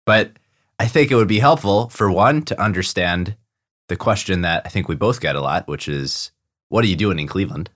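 A young adult man hosting a podcast, reading a scripted introduction and then interviewing a guest in a measured, friendly way. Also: low voice, lively intonation, a bit bright, dry recording, studio quality. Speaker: podcast host.

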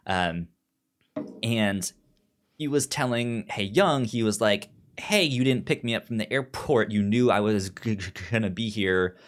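A man hosting a podcast in casual, opinionated recap chat, animated, with a frequent stutter that repeats the first sounds of words. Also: lowish voice, a little slow. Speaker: podcast host.

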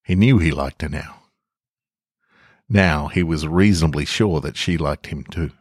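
A man hosting a podcast, narrating a story in a gentle, unhurried way and adding loose fillers when he speaks off script. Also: very deep voice, breathy edge.

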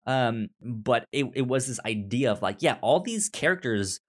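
Male podcast co-host, medium pitch, casual film discussion, halting delivery, stuttered repeats. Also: expressive.

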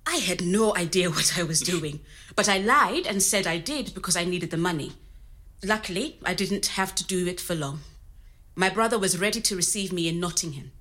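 A woman's voice: lowish voice, moderate pace, fairly bright tone, lightly acted. An actress playing a frank, creative immigrant woman, telling her story in a natural, conversational way. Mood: candid. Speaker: actor in a scripted audio story.

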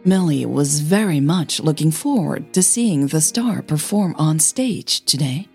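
A woman narrating scripted audio fiction as a podcast storyteller, with measured, deliberate phrasing and short pauses. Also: deep voice, animated, creaky, a little mellow, breathy edge, faint music behind.

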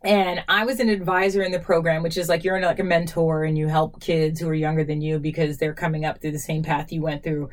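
A woman in her forties hosting a casual podcast, animated and laughing easily, with a quick exasperated edge. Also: low-pitched. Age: 40s. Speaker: podcast host. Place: studio.

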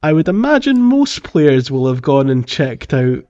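A man's voice: a little high, big pitch swings, measured pace, warm tone, lo-fi sound. A man hosting a casual gaming discussion in a jokey way, sometimes acting out lines in character. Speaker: podcast host. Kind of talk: casual video game discussion.

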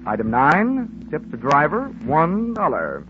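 An actor playing a world-weary, hard-boiled insurance investigator in a 1950s radio drama, with wry, sardonic, slangy delivery. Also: male voice, fairly high pitch, wildly swooping pitch, a little slow. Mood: wry, matter-of-fact. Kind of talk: 1950s radio drama acting with first-person narration. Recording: muffled, noisy vintage radio recording.

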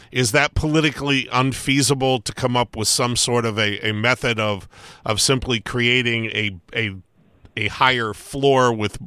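A man hosting a radio talk show, asking a long, halting question full of ums and restarts. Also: fairly low pitch, sharp tone, clean tone, dry recording. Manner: halting, rambling question with many fillers.

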